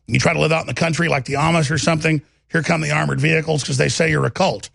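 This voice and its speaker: A man with a gravelly voice and a Texas twang, delivering impassioned political commentary in a confident, aggressive, emphatic way, piling up lists and rhetorical questions like a talk show host. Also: on the high side, steady tone, rapid-fire, a bit bright, dry recording, studio quality. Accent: Texan.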